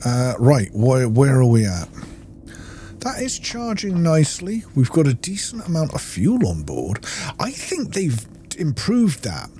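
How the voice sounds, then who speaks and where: A man's voice: medium pitch, wildly swooping pitch, measured pace, warm tone, a little hiss or hum. A man giving casual gameplay commentary, musing and thinking aloud to himself. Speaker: gamer, let's play host.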